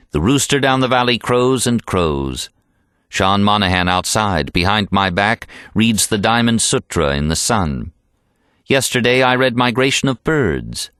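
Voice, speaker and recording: Low-pitched American man narrating an audiobook. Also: a bit bright, no echo, studio quality. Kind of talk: scripted literary narration, reading a novel aloud.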